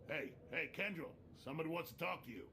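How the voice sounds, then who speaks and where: A man's voice: on the high side, animated, quick pace, noisy background. An actor playing a reluctant, nervous male informant in a video game, guarded in manner. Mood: wary. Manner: guarded, wary.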